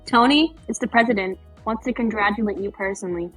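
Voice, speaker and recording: A woman reading the part of a brisk, exasperated personal assistant in an amateur table read, with a husky voice. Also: mid-range voice, fairly bright tone, soft background music, rough recording.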